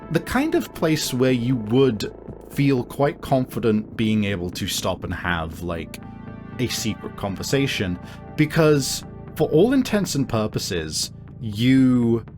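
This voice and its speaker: A man improvising narration as game master of a tabletop game, in a halting, conversational way. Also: lowish voice. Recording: music behind the voice. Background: music.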